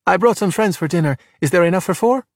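A man's voice: sits high, expressive, fast talker, no room sound, studio quality, voice pushed up. A man voicing a bossy, exasperated, spirited young Italian girl who drops Italian words into emphatic talk in a children's audiobook. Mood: upbeat. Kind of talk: audiobook character voice in a children's story.

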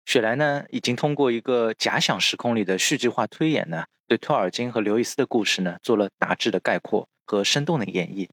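A man hosting a podcast, explaining from notes and often ending phrases on soft filler particles. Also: fairly low pitch, lively intonation, no room sound, studio quality. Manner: frequent drawn-out filler particles at phrase ends. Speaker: podcast host.

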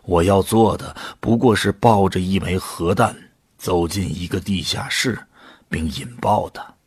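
A man narrating an audio drama in the first person, in a sombre, deliberate storytelling manner. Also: low-pitched, a little mellow, slightly rough, dry recording, studio quality.

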